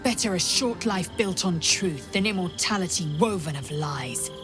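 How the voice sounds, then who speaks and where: A woman's voice: lowish voice, lively intonation, normal speed, over music, close to an everyday voice. An actress playing a proud, fierce alien warrior woman in a video game, solemn, formal and intense. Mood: bitter, resigned. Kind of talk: dramatic video game acting.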